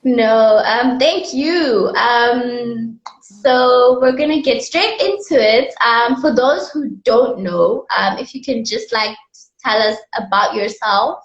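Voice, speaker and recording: Slow-talking woman on an echoey, dull call. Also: fairly high pitch. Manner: friendly, slow, hesitant with many ums. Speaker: host. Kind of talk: casual interview on a live stream. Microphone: video call. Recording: roomy, dull video call audio.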